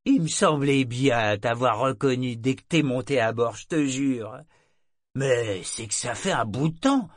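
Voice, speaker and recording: A man's voice: medium pitch, expressive, a little slow, no echo, clean studio sound. A man voicing a drunken, gap-toothed old sailor who rambles and slurs his words. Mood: boozy, rambling. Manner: slurred, mumbling drunken talk.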